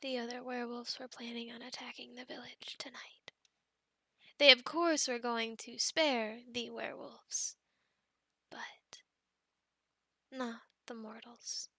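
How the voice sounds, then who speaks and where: A woman playing a possessive, obsessive female werewolf in an ASMR roleplay, often whispering, formally worded and softly menacing, close to the microphone. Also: fairly high pitch, level tone, no room sound, heavily acted. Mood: obsessive, intense. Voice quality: breathy whispering. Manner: slow, formal wording, soft menacing coaxing, often whispering.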